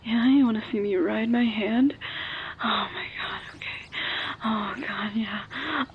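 A breathy actress as an amorous adult woman speaks in a fairly high voice, slowly and often in whispers, with sighs between phrases. She is urgent and coaxing, as in an intimate audio roleplay. The recording is muffled, with heavy noise. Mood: coaxing, aroused. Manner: breathy, often whispering, sighing, drawn-out delivery.

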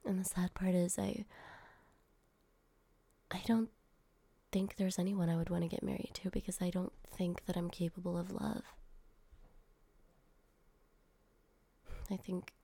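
A young woman playing a guarded young woman who confides in her new driver, speaking softly in a half-whisper to the listener. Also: lowish voice, level tone, moderate pace, gravelly, long pauses, husky, no room sound. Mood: melancholy. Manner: soft, hushed, half-whispered delivery. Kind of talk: one-sided audio roleplay addressing the listener.

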